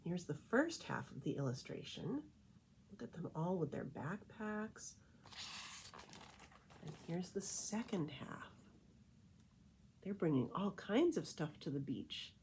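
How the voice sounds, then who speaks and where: Expressive, unhurried woman reading a picture book. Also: lowish voice, a little hiss or hum. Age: middle-aged. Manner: deliberate, animated rhythmic storytelling delivery. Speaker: librarian reading aloud to children. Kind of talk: expressive picture-book read-aloud with casual asides. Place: indoor room with painted wall.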